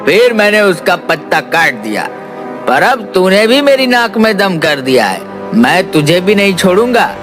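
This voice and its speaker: A man voicing a scheming, bullying fat village merchant villain in an animated moral story, menacing and insulting. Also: high voice, unhurried. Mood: threatening. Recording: music behind the voice.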